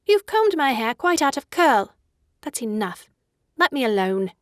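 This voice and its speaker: Peevish, haughty girl, high voice. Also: very animated, unhurried, no room sound, studio quality. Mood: irritated, peevish. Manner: peevish, imperious. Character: petulant, haughty teenage girl, quick to irritation. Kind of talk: dramatic audiobook dialogue.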